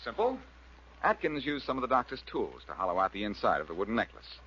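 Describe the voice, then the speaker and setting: Cocky low-voiced radio detective. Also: male voice, wildly swooping pitch, fast talker, a bit bright, slightly rough, full character voice. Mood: confident, accusing. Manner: sardonic, taunting, self-assured. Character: cocky, wisecracking amateur detective, reformed rogue. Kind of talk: 1940s radio detective drama acting. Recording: dull, hissy vintage radio recording.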